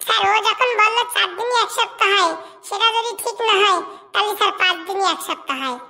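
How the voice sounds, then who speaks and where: Tiny cheeky cartoon pupil, whiny excuse-maker, very high, sharp voice, rural Bengali dialect. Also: fast, gravelly, heavily acted. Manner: argumentative, cheeky. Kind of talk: comic cartoon dubbing.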